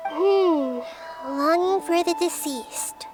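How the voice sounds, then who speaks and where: Casting: a little girl deity, very high voice, kind, thoughtful, measured game character, soft music behind. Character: gentle childlike female god of wisdom, kind and thoughtful. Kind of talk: video game voice acting.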